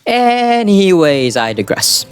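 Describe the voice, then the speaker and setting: A man's voice: high-pitched, very animated, takes it slowly. A man giving casual, semi-scripted anime commentary in a rambling, self-deprecating, crudely joking way. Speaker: anime video essayist. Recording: music behind the voice. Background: background music.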